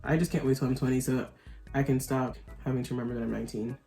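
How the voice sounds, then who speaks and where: A woman's voice: extremely low pitch, steady tone, fast, vocal fry, mellow, soft background music. A nineteen-year-old woman vlogger chatting casually while her makeup is done, rambling and giggly with lots of fillers. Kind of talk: casual chat.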